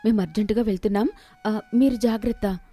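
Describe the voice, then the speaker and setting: A woman's voice: medium pitch, steady tone, brisk, warm tone, soft background music, close to an everyday voice. Woman playing a brusque, selfish female relative. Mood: dismissive. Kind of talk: dramatized moral story acting.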